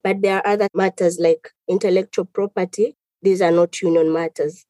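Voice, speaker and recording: A woman from Tanzania, a lawyer guest on a podcast, explaining her country's business and employment law in a measured way. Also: lowish voice, fairly even intonation, warm tone, dry recording. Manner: measured, explanatory, lists items. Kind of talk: podcast interview answers.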